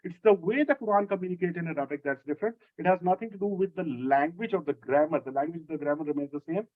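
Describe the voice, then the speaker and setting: Man teaching, slightly high voice. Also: fast, narrow, tinny audio. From Lahore, Pakistan. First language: Urdu. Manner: explanatory, steady, repeats key phrases. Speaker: instructor. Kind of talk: answering a student's question in an online class.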